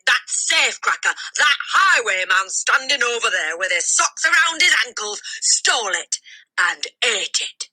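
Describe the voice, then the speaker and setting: A woman voicing a furious, bullying headmistress in a children's storybook, barking and shouting drawn-out, contemptuous insults. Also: mid-range voice, slightly rough, pitched above the performer's own voice, exaggerated voice, rough recording. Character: tyrannical bullying headmistress, booming, furious, theatrical.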